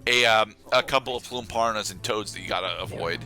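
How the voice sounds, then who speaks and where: A man's voice: on the low side, brisk, bright, forceful tone, faint music behind. A man doing let's play commentary, explaining the game and slipping in dry jokes. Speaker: gaming commentator.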